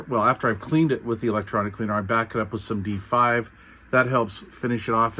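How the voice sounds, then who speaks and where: A middle-aged or older American man giving an informal hands-on how-to explanation in an unhurried, halting, folksy manner. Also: lowish voice, sharp tone. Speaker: repair tutorial host. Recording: clear background noise.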